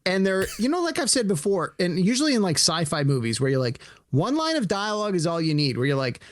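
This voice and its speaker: A man co-hosting a podcast in casual chat, animated, acting out imagined dialogue as he talks. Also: high-pitched, quick pace, no room sound, clean studio sound. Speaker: podcast co-host.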